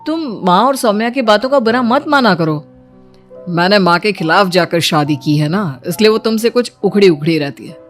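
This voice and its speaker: A woman's voice: mid-range voice, expressive, normal speed, soft background music, natural voice. A woman voicing a young married man in a family story, an earnest, admonishing husband who is easily vexed. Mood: reassuring. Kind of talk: animated Hindi moral story dialogue.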